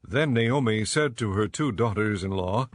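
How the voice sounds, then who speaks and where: Casting: male narrator for dramatized scripture, lowish pitch, measured and solemn, dry studio sound. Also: expressive, no room sound.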